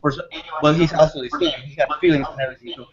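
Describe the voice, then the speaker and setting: Casting: man with a lightly raised pitch, earnest conversational explainer, chatter audible in the background. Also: fairly even intonation, racing. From foreign-born, living abroad. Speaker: panelist in a film discussion. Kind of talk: casual group discussion. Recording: muffled recording amid background chatter.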